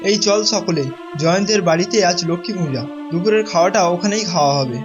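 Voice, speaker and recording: A man's voice: high-pitched, fast talker, lightly acted. A man voicing a loyal, eager village boy in a cartoon. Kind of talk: cartoon dubbing. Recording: muffled, music behind.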